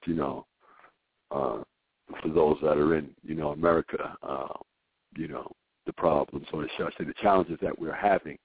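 A hoarse man calling in to a radio show, talking in an earnest, rambling way with many fillers. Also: very deep voice, no room sound. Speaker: caller. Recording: dry, tinny phone line.

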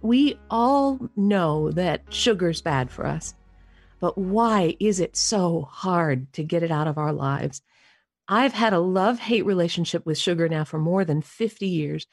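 A woman's voice: fairly low pitch, animated, a little slow, darkish tone, no room sound, faint music behind. A middle-aged or older woman hosting a radio interview with a scripted introduction. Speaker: radio show host.

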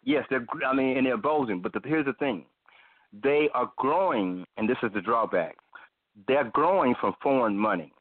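A man giving measured, lecturing commentary drawn from scripture, repeating his points. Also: medium pitch, expressive, no room sound, phone-quality sound.

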